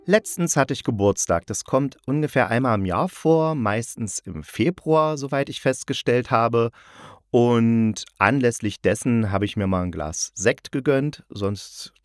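A man's voice: medium pitch, animated, a little slow, no room sound, studio quality. A man hosting a podcast about audio dramas, chatting casually and rambling a little, with wry, ironic asides. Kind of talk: casual solo podcast talk.

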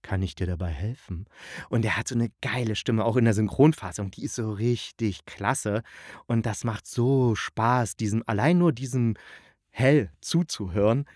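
A man talks like a reviewer sharing opinions. His delivery is chatty and colloquial, with a medium-pitched voice. The sound is dry, with very little room in it. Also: moderate pace, darkish tone, no echo. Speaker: audio drama reviewer, host. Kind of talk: casual spoken review.

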